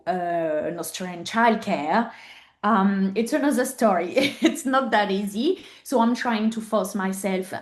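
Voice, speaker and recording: A woman in her thirties from France with a French accent, a native French speaker talking candidly as an interview guest, earnest and often pausing with fillers to find the right English word. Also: mid-range voice. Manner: earnest, searching for words with frequent fillers. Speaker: podcast guest.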